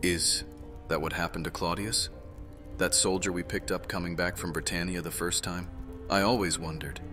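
A wry Roman soldier, played by a man, inclined to chide his comrades. The voice is low, with music clearly underneath, as in a fantasy audio drama. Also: steady tone, normal speed, close to an everyday voice. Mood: curious. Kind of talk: immersive fantasy roleplay audiobook acting.

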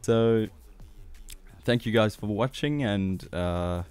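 Low, slow-talking Austrian man. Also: clean tone, soft background music. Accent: Austrian German. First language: German. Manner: relaxed, hesitant with many fillers. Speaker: music producer. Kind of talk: tutorial walkthrough. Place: small home studio, indoors. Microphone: studio mic on boom arm with headphones.

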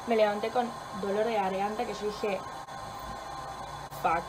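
A woman's voice: mid-range voice, fairly even intonation, unhurried, noisy background. Chatty stuffy-nosed Argentine young woman. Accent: Rioplatense Spanish. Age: young adult. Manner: chatty, rambling and self-mocking, swears freely. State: sore throat and stuffy nose. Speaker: vlogger. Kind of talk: casual vlog chat. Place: home kitchen, indoors.

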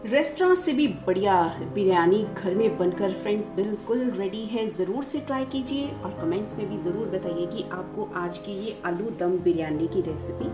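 Woman calmly talking through a recipe. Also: medium pitch, moderate pace, hardly any pauses. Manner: steady step-by-step instructions, addresses viewers as friends. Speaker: cooking channel host. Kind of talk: recipe demonstration voice-over. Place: home kitchen. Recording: roomy kitchen sound with music.

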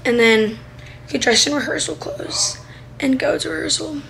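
An eighteen-year-old woman with a raspy voice, chatting casually to a handheld camera in her room and car, full of "like" and "um". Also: sits high, moderate pace, a bit bright, slight background noise, rough recording. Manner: chatty, lots of "like" and "um". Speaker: vlogger and singer. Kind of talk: casual vlog chat to camera. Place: small bedroom, then inside a car. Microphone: handheld camera close to face.

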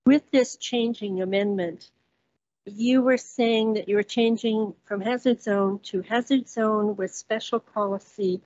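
A woman's voice: medium pitch, a little mellow, no room sound. Hesitant woman reading notes at podium. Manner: hesitant, reads from notes with many ums. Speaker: resident speaking at public hearing. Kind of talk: public comment at council meeting. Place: council chamber, standing at podium. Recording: dull muffled council chamber recording.

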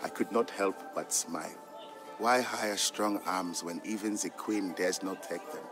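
An actor playing an Ethiopian trader and father, narrating old legends in a measured, fond storybook style for a game. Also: low voice. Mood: reflective, storytelling. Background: background music.

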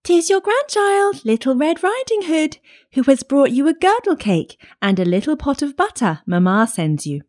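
Woman as a high-pitched, innocent little girl. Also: very animated, moderate pace, a little mellow. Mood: timid, sweet.

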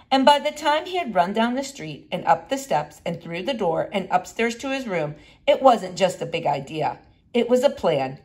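A woman reading a children's storybook aloud in an expressive way with deliberate emphasis. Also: on the low side. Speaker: reader.

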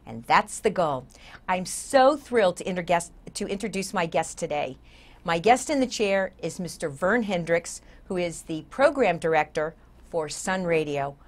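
A woman's voice: lowish voice, expressive, normal speed. Effusive older woman hosting. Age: older, 60s. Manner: effusive, emphatic. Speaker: talk show host. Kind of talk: conversational show hosting. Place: TV studio set, seated.

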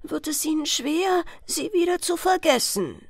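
Stern old fairy-tale fisherman played by a female reader, vehement, commanding, very animated delivery. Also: high-pitched, measured pace, creaky, dry recording, clean studio sound, pitched above the performer's own voice, exaggerated voice. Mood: grave explaining. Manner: emphatic, commanding, admonishing. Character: stern, vehement old fisherman father warning his daughter.

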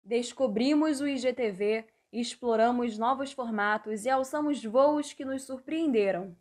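A young woman delivers a scripted presentation with a slightly high voice. She reads in a measured, deliberate way, like a student reporter. The sound is picked up close by a small wired earphone mic. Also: darkish tone. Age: young adult.

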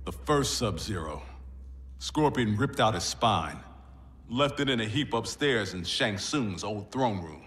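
An actor with an American accent playing a tough, easygoing army major in a video game, casual and confident. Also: male voice, lowish voice, animated, a little slow, fairly bright tone, slightly rough, exaggerated voice. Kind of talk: naturalistic game acting.

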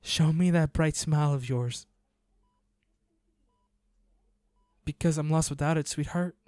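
A man playing a devoted, grieving young hunter in an audio drama, speaking intimately in a halting, pleading, emotional way to an injured lover. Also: fairly high pitch, mellow, no echo, clean studio sound, natural voice. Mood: pleading, loving. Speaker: audio roleplay voice actor.

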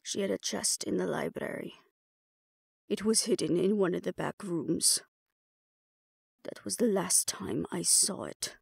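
A woman voicing a guarded, grudging, defiant old female vampire elder in an audio roleplay, speaking in a halting, solemn way. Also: fairly low pitch, vocal fry, husky, dry recording, studio quality, heavily acted. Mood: reluctant.

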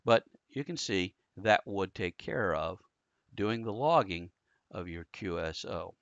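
A man's voice: low voice, animated, measured pace, fairly bright tone, no echo. Man narrating a tutorial, dull dry recording. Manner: explanatory, conversational, step-by-step. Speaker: tutorial instructor. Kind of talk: casual screen-share software tutorial narration. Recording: dull lo-fi close dry voice-over.

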